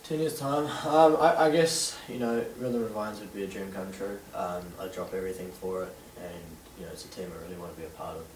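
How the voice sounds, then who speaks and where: A young man in his late teens with a New Zealand accent, answering interview questions haltingly with many fillers. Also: low voice, darkish tone, slight background noise. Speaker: interviewee, young DJ and event organiser. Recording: distant roomy camera sound with faint ambience.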